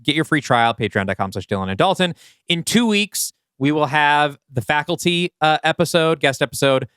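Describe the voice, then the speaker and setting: A man with a fairly high voice speaks as a podcast co-host in a casual, chatty style. His tone is smooth and clear with very lively pitch movement, recorded without any room sound. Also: normal speed, no echo, clean studio sound.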